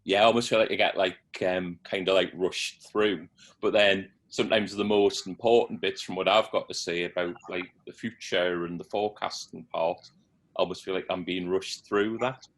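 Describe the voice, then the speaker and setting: A man's voice: deep voice, fairly even intonation, normal speed. A man on a video call asking for advice, talking hesitantly with lots of fillers.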